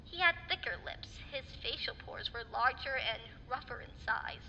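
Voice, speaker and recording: High, sharp-voiced clinical woman doctor in a hall. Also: moderate pace, exaggerated voice. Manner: clinical, precise, formal. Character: stern, rational female government doctor, clinical and defensive. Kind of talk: radio drama acting as a recorded report. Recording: hollow reverberant hall, muffled, faint noise.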